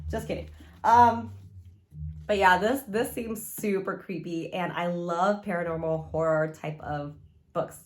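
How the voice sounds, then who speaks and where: A woman chatting casually about books like a vlogger, informal and slangy, often interrupting her own train of thought. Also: on the low side. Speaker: book reviewer vlogger. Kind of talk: casual chat.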